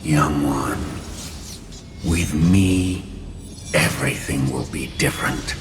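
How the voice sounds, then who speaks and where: A man voicing an ancient fire spirit in a game, a grandiose and sinister tempter who speaks slowly and coaxingly. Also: very deep voice. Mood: seductive, grandiose. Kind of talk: video game cutscene acting. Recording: echoing voice under loud music.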